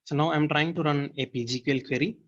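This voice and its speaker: A man giving a step-by-step software demo as a technical presenter, explaining in a halting way. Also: fairly high pitch, fairly even intonation, dull audio, a little hiss or hum.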